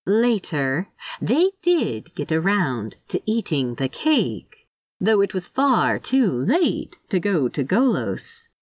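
A woman reading a story aloud in an expressive, wry and conversational way. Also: fairly low pitch, takes it slowly. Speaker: fan fiction narrator. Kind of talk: expressive scripted story narration.

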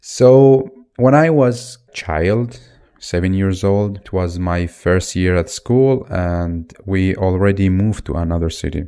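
A man's voice: low-pitched, slow, dark tone, smooth. A man in his twenties from Iraq, hosting a solo podcast and talking off the cuff with restarts and fillers. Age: 20s. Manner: unscripted, thinking aloud with fillers and restarts. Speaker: podcast host.

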